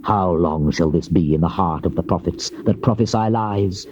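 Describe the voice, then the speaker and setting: A man's voice: deep voice, lightly acted. A British actor playing a stern Old Testament prophet, declaiming judgment solemnly in a dramatized King James Bible reading. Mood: accusing, solemn. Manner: solemn, declamatory, archaic phrasing with drawn-out endings. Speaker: scripture narrator voicing a prophet. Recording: muffled, noisy old cassette tape.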